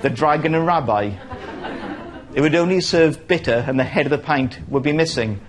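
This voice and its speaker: A man's voice: on the high side, moderate pace, loud music behind the voice. A man in his thirties from Wales presenting a documentary in a conversational, reflective way. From Port Talbot, Wales. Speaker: presenter and stand-up comedian.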